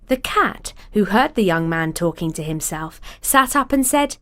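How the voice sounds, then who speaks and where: A woman reading a fairy tale aloud in an expressive storybook cadence. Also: medium pitch, a little slow.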